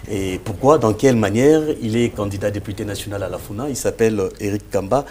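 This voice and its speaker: Male TV interviewer, Congolese accent, lowish register, polite and formal, slightly noisy recording. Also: fast talker, darkish tone. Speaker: talk show host, interviewer. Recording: clear background noise.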